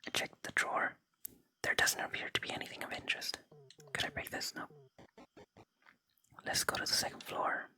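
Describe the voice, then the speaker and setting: A gaming host whispering softly in ASMR style close to the microphone, reading game dialogue and commenting on play. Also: normal speed, pauses often, poor audio. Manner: unvoiced, gentle whispering throughout.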